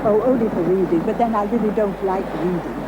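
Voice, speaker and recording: A woman's voice: mid-range voice, fairly quick, natural voice. An actress playing a genteel, guileless lady passenger with a polite, chatty manner in a television drama. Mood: pleasant, coy. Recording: dull audio, loud boat noise.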